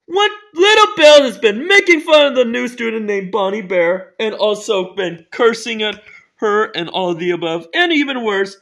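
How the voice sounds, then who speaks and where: A man's voice: high-pitched, bright, forceful tone. A man playing a stern, indignant school principal who scolds emphatically and repeats himself in an amateur cartoon story. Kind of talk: amateur role-played children's cartoon story.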